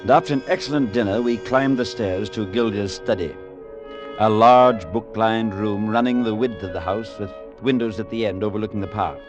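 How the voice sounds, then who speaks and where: A man playing a priest who narrates his own story in a vintage radio drama, measured and reflective, at times gently amused. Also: lowish voice, close to an everyday voice. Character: thoughtful male priest narrator. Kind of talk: 1940s radio acting. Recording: dull old radio broadcast with faint music.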